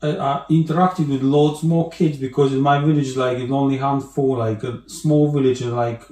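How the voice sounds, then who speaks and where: A man with a Bulgarian accent talks casually as a guest, at a mid pitch, often restarting and filling his sentences with "like". The room around him rings with echo. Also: fairly even intonation, warm tone. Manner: halting, restarts, many "like" fillers. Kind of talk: casual interview chat.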